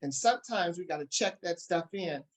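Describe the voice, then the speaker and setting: A man's voice: sits high, animated, fairly quick. A man hosting a talk show, interviewing guests and addressing viewers with showman phrases and slogans.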